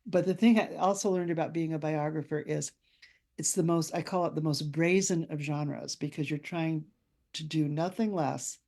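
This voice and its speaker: An older woman, an author being interviewed, speaking in a thoughtful, anecdotal way with measured phrasing. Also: deep voice, steady tone, dark tone. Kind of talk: reflective conversational interview.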